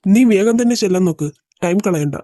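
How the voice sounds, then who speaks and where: A woman voicing a steady male friend and officer who gives firm orders and comforts others in an audio drama. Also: mid-range voice, brisk, no echo, clean studio sound, natural voice. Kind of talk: amateur audio drama voice acting.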